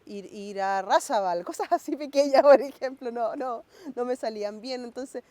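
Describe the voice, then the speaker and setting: Mellow-voiced woman, reflective interview. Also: a little high, moderate pace, dry recording. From Norway. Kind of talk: documentary interview, reflecting on identity.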